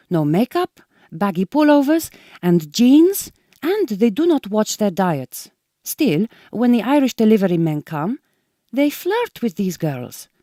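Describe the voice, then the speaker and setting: Actress as Hungarian farm worker, tender yet prim and judgmental. Mellow, dry close sound, precise formal English, for radio drama. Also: female voice, mid-range voice, no room sound, natural voice. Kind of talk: radio drama acting, intimate monologue and dialogue.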